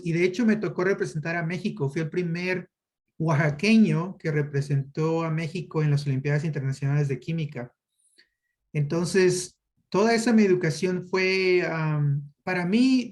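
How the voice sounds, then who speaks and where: A man with a Mexican accent speaks in a fairly high voice. He answers as an academic guest in an interview, conversational in style. He often hesitates as he searches for words. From Oaxaca, Mexico. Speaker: guest, university professor and researcher.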